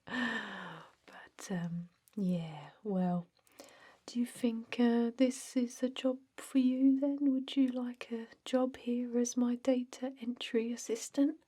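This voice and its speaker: A woman voicing an easygoing female energy vampire who interviews for an office job, in a hushed, casual, hesitant ASMR roleplay whisper. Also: a little high, fairly even intonation, slow, slightly rough, voice pushed up. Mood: casual, wry.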